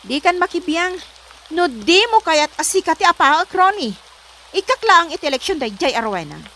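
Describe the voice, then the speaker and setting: A woman with a Filipino accent playing a haughty, quick-tempered employer in a comedy drama, scolding and imperious. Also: very high voice, big pitch swings, sharp tone, a little hiss or hum, full character voice. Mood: defensive, irritated.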